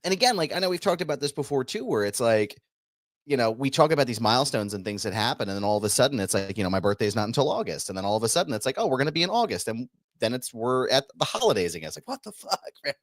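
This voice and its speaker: A man turning forty who hosts a casual show, upbeat and quick to laugh. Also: medium pitch, big pitch swings, no room sound, clean studio sound. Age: 30s. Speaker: host. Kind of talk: casual chat.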